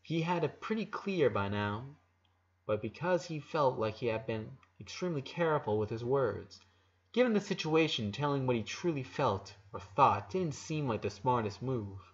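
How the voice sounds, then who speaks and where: A man's voice: mid-range voice, animated, normal speed, husky, highs cut off. A man reading a dramatic story aloud as narrator, emotive, with occasional stumbles.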